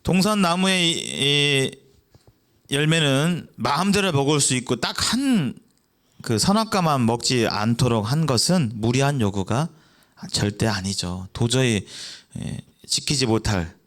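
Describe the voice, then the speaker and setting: A man's voice: mid-range voice, fairly bright tone. A man preaching a sermon in a church, explaining in a conversational way and asking the congregation rhetorical questions.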